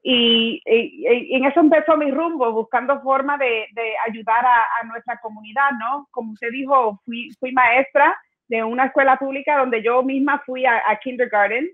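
A woman's voice: on the high side, normal speed. A middle-aged woman from Brooklyn answering interview questions earnestly over a video call from home, often restarting her words. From New York, Brooklyn. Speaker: mayoral candidate guest. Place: home room with bookshelves. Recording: tinny phone-like video call audio.

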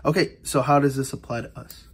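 A man's voice: mid-range voice, steady tone, brisk, husky. A man giving an informal Bible study lesson. He speaks earnestly, often repeats himself and restarts with fillers. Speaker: Bible teacher. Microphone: voice-over on an overhead camera view of an annotated Bible.

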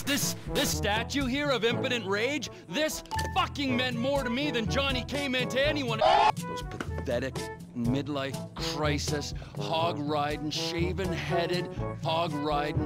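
Seething criminal, middle-aged, highish voice, menacing rant, loud music behind, game cutscene. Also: male voice, lively intonation, slow pace. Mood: seething rage. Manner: ranting, repeats words for menace. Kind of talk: video game cutscene dialogue.

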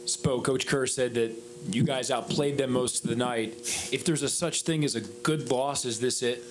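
A man's voice: medium pitch, fairly even intonation, moderate pace, slight background noise, poor audio. A man, a reporter, asking the coach a question from the press room floor.

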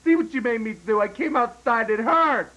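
A man's voice: extremely high pitch, moderate pace, pushed and bright, lo-fi sound. A man playing a whiny, touchy little boy in an improvised comedy sketch, complaining and repeating himself. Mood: hurt.

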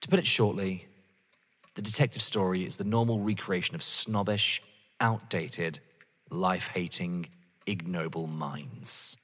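Man acting defiant contemptuous rival, raspy-edged husky voice, emphatic, audio drama, long echoing reverb. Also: sits low, fairly even intonation, unhurried, fairly bright tone. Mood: cold contempt. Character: defiant, contemptuous male rival, wounded but resolute. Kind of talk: dramatic stage-play acting.

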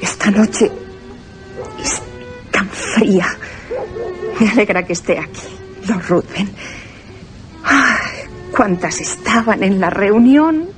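Slow, theatrical coquettish society lady. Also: a little high, very animated, soft background music, full character voice. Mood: coy, flirtatious. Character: flirtatious high-society London woman, fascinated and fearful of a vampire. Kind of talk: Spanish radio theatre acting.

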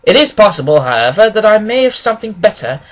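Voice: mid-range voice, big pitch swings, fast talker, voice pushed up, lightly acted, rough recording. A reader voicing a confident, analytical male detective in an audiobook, speaking with assured precision. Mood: confident, musing. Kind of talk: acted audiobook dialogue.

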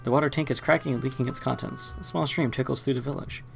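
Brisk, gravelly male let's play host. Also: medium pitch, fairly even intonation, soft background music. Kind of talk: reading game narration aloud with asides.

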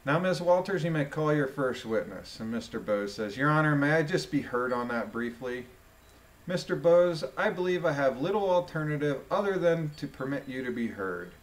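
A man reading a document aloud and adding informal commentary in a deliberate, musing way. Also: on the high side, lively intonation.

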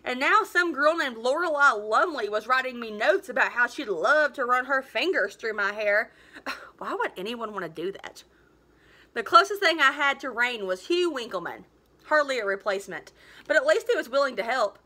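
A woman in her thirties reading a story aloud like a teacher, with emphatic, expressive delivery. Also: on the high side, moderate pace. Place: living room at home, indoors.

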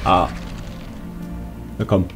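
A man giving casual, colloquial gameplay commentary in speech, talking half to himself and half to his viewers. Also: very slow. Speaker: let's player. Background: game music.

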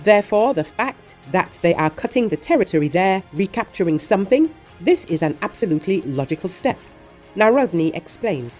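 A woman reading a scripted news report in a measured, even voice, relaying quotes from others. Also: medium pitch. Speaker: news narrator. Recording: voice-over with faint music underneath.

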